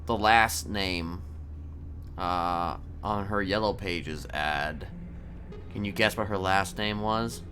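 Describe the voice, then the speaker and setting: A man playing a brooding prisoner in a roleplay game, confiding in a halting, deliberate way and repeating words as he goes. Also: low voice, a little hiss or hum, heavily acted. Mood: confiding, measured. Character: brooding, confiding male prisoner seeking to reform. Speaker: streamer role-playing a character. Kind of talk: improvised in-character storytelling in a crime roleplay game. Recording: loud music behind.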